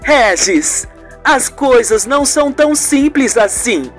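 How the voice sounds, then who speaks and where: A performer voicing a calm, determined woman in a radio soap, speaking firmly and deliberately. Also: mid-range voice, faint music behind, pitched above the performer's own voice. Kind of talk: radio soap opera acting.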